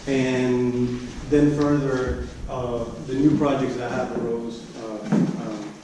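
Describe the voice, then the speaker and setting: A man presenting research at an academic conference, speaking haltingly and often repeating and restarting his phrases. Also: mid-range voice, steady tone. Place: conference room. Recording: echoey room, distant mic, background noise.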